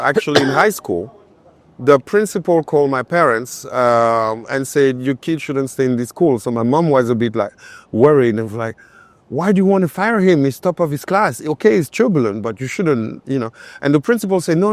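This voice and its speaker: A man's voice: mid-range voice, animated, moderate pace, no room sound. A man from Cameroon and France answering as an interview guest in conversation, often checking his points with "right?". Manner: punctuates points with tag questions like "right?". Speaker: interview guest, finance entrepreneur.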